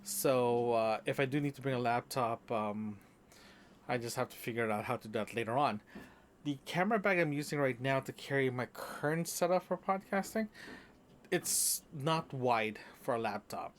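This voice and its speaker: A man's voice: medium pitch, expressive, moderate pace, a little hiss or hum. A man recording a casual solo audio diary at home, rambling with many fillers and restarts. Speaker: podcast host. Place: apartment room.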